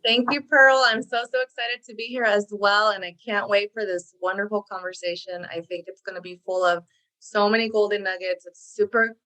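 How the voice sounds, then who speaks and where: A woman's voice: medium pitch, fairly even intonation, normal speed, sharp tone, rough recording. Earnest woman, Spanish native speaker. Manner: earnest, reflective. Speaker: career coach guest. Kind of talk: podcast interview.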